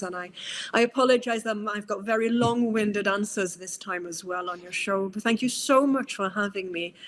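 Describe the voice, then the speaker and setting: Norwegian woman with a British-tinged accent. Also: mid-range voice. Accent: British-tinged English. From Norway. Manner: thoughtful, many fillers and restarts. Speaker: guest psychologist. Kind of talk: long-winded interview answers.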